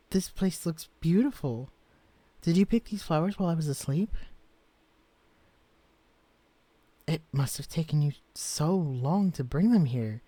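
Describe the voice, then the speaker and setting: A man voicing a gentle, shy young man who has been shrunk to tiny size, speaking softly and stammering when flustered. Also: fairly high pitch, no room sound. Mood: delighted. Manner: soft-spoken, hesitant, stammering when flustered. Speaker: character in a listener-directed romance audio. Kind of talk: audio roleplay drama.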